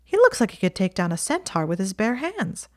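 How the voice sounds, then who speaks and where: A woman's voice: medium pitch, huge pitch swings, a little fast, darkish tone. A woman voicing a chatty, teasing young witch girl who speaks in a lofty, blunt way.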